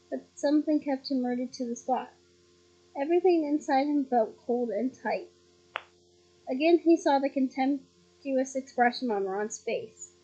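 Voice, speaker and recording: A slightly hoarse woman reading a novel aloud at home, breaking off for chatty, giggly asides. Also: sits high, warm tone, dull audio. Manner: chatty, giggly asides between read passages. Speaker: reader of a novel. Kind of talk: casual read-aloud with asides. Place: at home on her bed.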